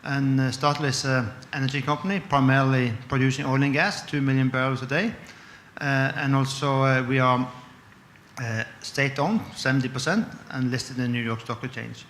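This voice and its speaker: A man from Norway with a Norwegian accent, introducing himself on a panel in a halting way, searching for words. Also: medium pitch, level tone, a bit bright, echoey room, slight background noise. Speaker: head of enterprise risk management, panelist. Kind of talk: conference panel self-introduction.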